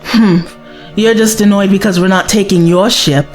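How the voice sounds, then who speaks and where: An actress playing a spirited, cheeky young woman companion in a science fiction audio drama, expressive and teasing. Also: medium pitch, normal speed, soft background music, close to an everyday voice. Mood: impressed, teasing.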